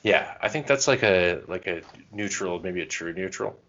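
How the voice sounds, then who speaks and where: A man's voice: low voice, expressive, moderate pace, fairly bright tone, dull audio. A man co-hosting a podcast in casual chat, joking and self-deprecating. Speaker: podcast co-host.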